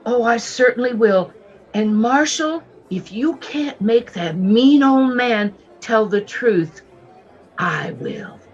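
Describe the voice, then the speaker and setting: Earnest young shopgirl, animated pitch. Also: slow, lo-fi sound. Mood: anxious, indignant. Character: sweet, earnest, naive young shopgirl of twenty-two. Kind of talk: old-time radio western acting.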